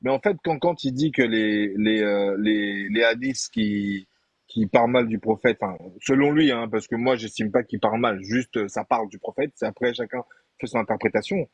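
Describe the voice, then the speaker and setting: A man's voice: medium pitch, moderate pace, husky, no room sound. A man arguing in a heated live debate, insistent and repetitive, often talking over the others. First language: not Arabic. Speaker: debate participant.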